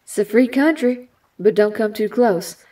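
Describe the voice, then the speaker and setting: An actress playing a guarded, hot-tempered young superheroine in an audio drama, with a Southern US accent and a sassy, folksy manner. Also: female voice, fairly high pitch, moderate pace, dark tone, far from the mic, close to an everyday voice.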